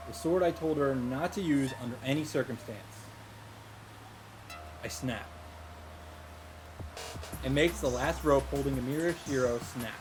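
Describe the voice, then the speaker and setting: A man's voice: medium pitch, poor audio. A man reading a visual novel's first-person narration aloud in an earnest, dramatic way and commenting as he goes. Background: game music.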